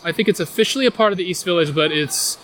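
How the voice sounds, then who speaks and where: A man's voice: sits high, rapid-fire, a bit bright, a little hiss or hum. A man with a New York accent giving a casual walking tour outdoors on city streets, rambling enthusiastically with fillers and restarts. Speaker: tour guide.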